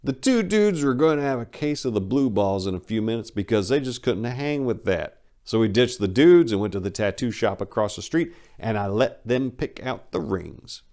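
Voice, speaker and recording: A man's voice: medium pitch, expressive, normal speed, few pauses, mellow. A man narrating a first-person memoir as a dramatic reading, in a brash, wry, colloquial storytelling manner.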